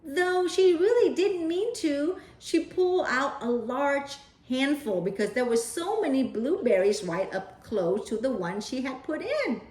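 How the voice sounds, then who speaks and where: Slow, expressive woman reading a picture book. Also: high voice. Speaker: storyteller. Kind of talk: children's picture-book read-aloud. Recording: roomy echo.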